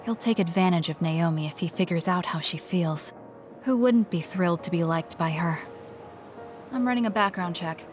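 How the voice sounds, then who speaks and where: A lowish-voiced young female soldier from a video game, played by an actress, speaks over clear background music. She is curt and aloof, blunt in her questions and protective underneath. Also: normal speed, a little mellow, natural voice. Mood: aloof, dry. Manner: curt, aloof, dryly probing. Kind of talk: English video game voice acting.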